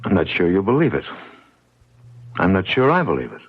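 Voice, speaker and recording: Folksy, husky, tender householder man. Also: deep voice, wildly swooping pitch, a little fast, full character voice. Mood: wistful. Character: gentle, doting owner of an old house. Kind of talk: old-time radio drama acting. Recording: muffled vintage radio drama recording.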